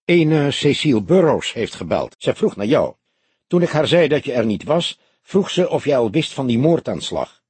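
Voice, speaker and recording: A man as an offhand, husky police desk commander. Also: mid-range voice, big pitch swings, moderate pace, darkish tone, no room sound, pitched above the performer's own voice. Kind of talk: audiobook character dialogue.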